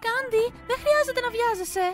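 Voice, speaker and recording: Woman playing a high-voiced caring, strict nun. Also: animated, music in the background. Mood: worried, gentle. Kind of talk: amateur anime fan dub.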